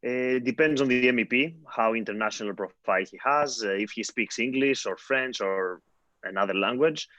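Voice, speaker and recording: A man's voice: medium pitch, moderate pace, fairly bright tone, dry recording. A man from Greece, a parliamentary assistant, answering in terse, clipped phrases on a video call. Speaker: assistant to a member of the European Parliament. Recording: dull, dry, lo-fi sound.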